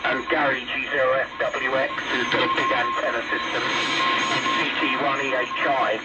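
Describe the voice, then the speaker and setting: A man's voice: mid-range voice, little pitch movement, moderate pace. A man chatting casually and ramblingly as an amateur radio operator over an AM shortwave link. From England. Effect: AM shortwave radio transmission. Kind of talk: casual radio contact chat. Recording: band-limited, distant AM radio with loud background tones.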